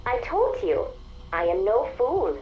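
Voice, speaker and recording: An actress voicing a calm, weary, coolly defiant female artificial intelligence in a video game audio log, with a measured, detached delivery. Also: fairly high pitch. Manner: deliberate, detached. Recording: dull lo-fi audio log, faint noise.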